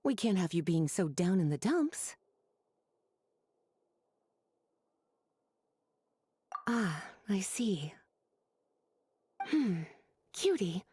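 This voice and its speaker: Languid game witch, breathy, teasing, doting, lively pitch swings. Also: no room sound, studio quality. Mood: doting, soothing. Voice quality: soft, breathy, mellow. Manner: coaxing, playful, drawling pet names.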